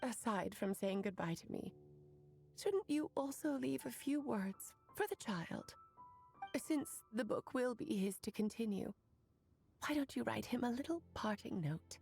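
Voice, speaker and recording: Expressive, high-voiced merry witch. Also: a little slow, vocal fry, faint music behind, exaggerated voice. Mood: sad, fond. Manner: theatrical, expressive. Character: playful, cheerful witch woman, sentimental under the merriment. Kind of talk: video game voice acting.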